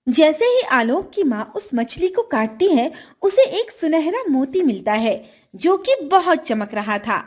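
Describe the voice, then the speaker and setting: A woman storyteller with a high, melodic voice that moves freely up and down. She reads scripted narration for a children's tale. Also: very animated, a little slow, darkish tone.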